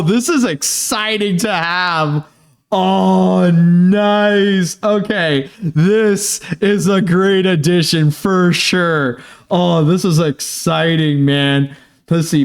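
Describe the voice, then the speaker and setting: A young Canadian man chats his way through opening packages from fans, his high voice leaping up and down. He takes his time, full of fillers, restarts and sudden bursts of excitement. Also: clean tone. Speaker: channel host opening fan mail. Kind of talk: casual unboxing chat.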